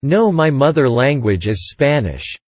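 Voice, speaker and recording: A man's voice: medium pitch, big pitch swings, takes it slowly, no echo, lo-fi sound. A man reading short English answers for a language lesson in a careful, scripted way. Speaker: English dialogue reader.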